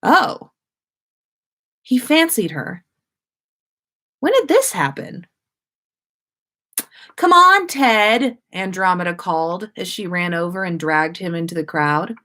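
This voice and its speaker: Expressive woman, informal storytelling host. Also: fairly low pitch, unhurried, long pauses. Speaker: host of a fan fiction story-time livestream. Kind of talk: expressive story reading and casual livestream chat.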